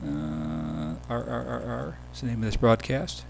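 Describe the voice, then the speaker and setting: A man giving a casual, step-by-step software tutorial as the presenter of a screen demo. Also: mid-range voice, lively intonation, a little slow, creaky. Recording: background hum and noise.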